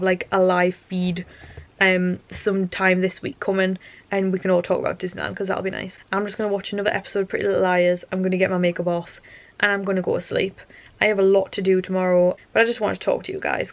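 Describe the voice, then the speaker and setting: A woman's voice: mid-range voice, vocal fry, dry recording. A young woman, tired and losing her voice, rambling chattily to camera at home at night. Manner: rambling, chatty, self-interrupting. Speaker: vlogger. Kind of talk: casual chatty monologue to camera.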